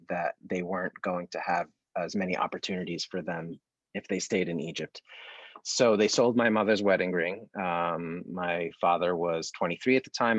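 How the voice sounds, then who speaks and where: Deep-voiced man on a dull line. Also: fairly bright tone, dry recording. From United States. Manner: halting, many fillers and restarts. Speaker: artistic director. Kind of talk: conversational interview.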